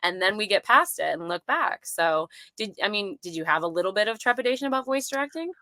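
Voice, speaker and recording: A woman's voice: mid-range voice, lively intonation, quick pace, bright, forceful tone, no room sound. A woman hosting a conversational interview podcast, encouraging and responsive in the way she talks. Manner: encouraging, reactive. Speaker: podcast host.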